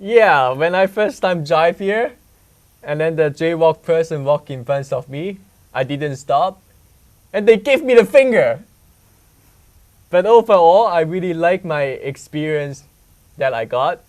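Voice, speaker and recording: A man's voice: fairly high pitch, expressive, a little slow, a little mellow. Young man from Hong Kong, candid. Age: young adult. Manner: simple non-native English, candid storytelling. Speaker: student reporter. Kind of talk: conversational student news segment.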